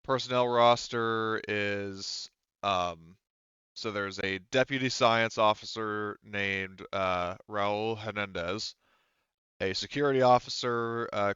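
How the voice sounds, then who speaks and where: A man with a deep, bright, smooth voice runs a tabletop game as game master. He talks casually and haltingly, feeling his way through the details. The sound is close and dry, if slightly muffled. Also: no echo. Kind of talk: narrating an online tabletop game.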